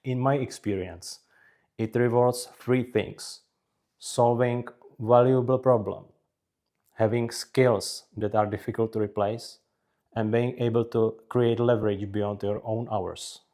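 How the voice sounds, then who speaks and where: A man from the Czech Republic with a Czech accent, narrating scripted career advice in measured, deliberate short phrases. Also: medium pitch, steady tone, dark tone. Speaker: career advice video creator.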